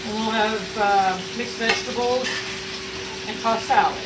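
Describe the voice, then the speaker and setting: Older woman at the stove, far from the phone mic, clear sizzling and frying over her quiet lines. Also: fairly high pitch, fairly even intonation, a little slow. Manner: brief, off to the side. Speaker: home cook. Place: small home kitchen, indoors. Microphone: distant phone camera. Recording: off-mic, frying noise behind.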